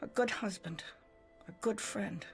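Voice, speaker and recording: A woman acts out a grieving older widow in a game cutscene, with a gravelly, creaking voice. She is weary and ominous, speaking in brooding fragments over music. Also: medium pitch, unhurried, close to an everyday voice. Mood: mournful. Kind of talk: scripted video game cutscene acting.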